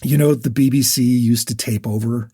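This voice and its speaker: A man's voice: medium pitch, steady tone, moderate pace, mellow, breathy edge, no echo, clean studio sound. A man co-hosting a podcast, casually discussing books and sharing researched facts, often restarting and correcting himself mid-sentence. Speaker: podcast co-host.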